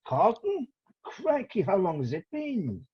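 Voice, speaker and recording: Husky, animated, cranky ageing British actor played by a man. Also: high-pitched, measured pace, highs cut off, exaggerated voice. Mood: astonished. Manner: indignant, old-fashioned British exclamations. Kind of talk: amateur script table read.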